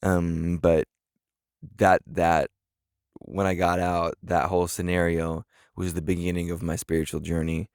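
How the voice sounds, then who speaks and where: Earnest young man with a deep, gravelly voice. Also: little pitch movement, darkish tone, dry recording, clean studio sound. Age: 20s. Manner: earnest, halting, many fillers and restarts. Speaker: vlogger. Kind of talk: casual talk to camera.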